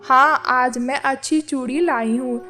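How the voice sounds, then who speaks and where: A woman's voice: high voice, steady tone, a little slow, voice pushed down. A woman voicing a sly, envious female crow in a children's cartoon, plaintive and defensive. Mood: nervous, defensive. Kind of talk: scripted children's cartoon story. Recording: music behind the voice.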